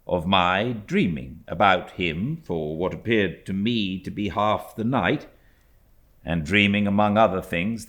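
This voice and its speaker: Deep-voiced British male storyteller. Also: fairly bright tone. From Britain. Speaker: audiobook narrator. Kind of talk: literary scripted narration.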